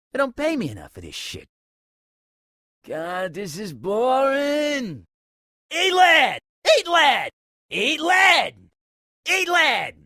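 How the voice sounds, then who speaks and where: A man's voice: very high voice, bright, forceful tone, no room sound, studio quality, exaggerated voice. Man doing a grumbling gangster mook. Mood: aggressive shouting. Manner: gruff, grumbling, bored. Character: grumbling low-paid male gangster thug with a machine gun. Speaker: enemy henchman voice. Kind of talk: video game voice lines.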